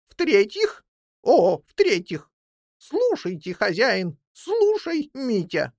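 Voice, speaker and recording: A woman voicing an old female jackdaw in a children's fairy tale, motherly, bossy and theatrical, with drawn-out emphatic delivery. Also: on the high side, huge pitch swings, darkish tone, no echo, clean studio sound, pitched above the performer's own voice, exaggerated voice. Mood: urgent, solemn.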